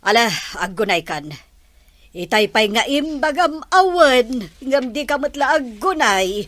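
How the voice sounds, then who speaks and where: Lady doing a bossy mom, lively pitch, dry close mic. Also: a little slow, no room sound. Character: mother of a rich family, middle-aged, bossy. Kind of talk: Ilocano audio drama acting.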